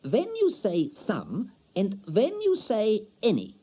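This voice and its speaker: A man voicing a playful wife who speaks slow, halting English in a short story reading. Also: high-pitched, wildly swooping pitch, mellow, breathy edge, voice pushed up, exaggerated voice. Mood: puzzled, curious. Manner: slow, broken English phrasing.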